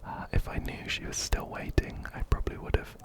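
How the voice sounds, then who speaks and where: A man whispering ASMR game commentary very close to a binaural mic, gently repeating his phrases. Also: fairly quick. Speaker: ASMR host playing a video game. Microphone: close binaural microphone, ear to ear.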